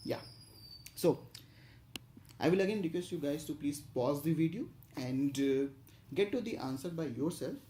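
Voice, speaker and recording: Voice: medium pitch, normal speed, long pauses, warm tone, a little hiss or hum. An instructor narrating an explanatory tutorial, going step by step with some hesitation and repetition.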